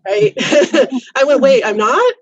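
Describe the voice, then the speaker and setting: A woman's voice: sits high, sing-song, slow pace, no room sound, highs cut off. A woman in her fifties, a college professor, telling her own story thoughtfully with frequent restarts and "you know.". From United States. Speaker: college professor, podcast guest. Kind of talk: reflective personal storytelling.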